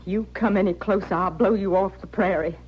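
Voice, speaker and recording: A woman's voice: medium pitch, a little fast, slight background noise, natural voice. Woman acting proud frontier widow, dull radio sound. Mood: bitter, defiant. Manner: folksy, rustic phrasing. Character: proud, wounded frontier widow, plain-spoken and stubborn. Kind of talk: old-time radio western acting. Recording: dull vintage radio recording.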